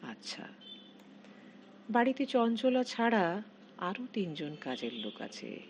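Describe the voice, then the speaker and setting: A middle-aged woman reading her own literary short story in an expressive, measured recitation. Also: mid-range voice, a little hiss or hum. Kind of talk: scripted literary story narration.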